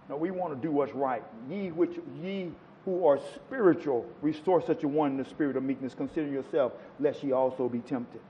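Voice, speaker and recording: A man's voice: a little high, long reverb tail, muffled recording, a little hiss or hum. A man preaching a sermon to a congregation, quoting scripture with rising and falling emphasis. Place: church.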